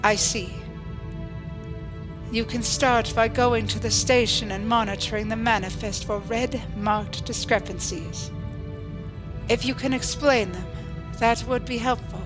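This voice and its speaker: A woman's voice: on the high side, steady tone, a little slow, over music, lightly acted. A woman playing a stiff, by-the-book starship ensign in a sci-fi audio drama, speaking formally and precisely. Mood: cool, businesslike.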